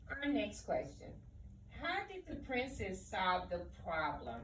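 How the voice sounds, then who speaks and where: Female teacher, lively swooping intonation, prompting children with questions; roomy sound, mic at a distance. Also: mid-range voice, measured pace. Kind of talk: read-aloud lesson, posing questions to children. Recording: echoey room, distant mic, faint noise.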